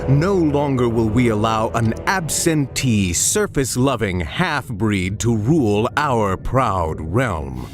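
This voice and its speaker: A man's voice: medium pitch, big pitch swings, slow pace, hardly any pauses, faint music behind. A man voicing a sinister, contemptuous warlord usurper in a video game, menacing and disdainful. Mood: cold, contemptuous. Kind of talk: video game cutscene acting.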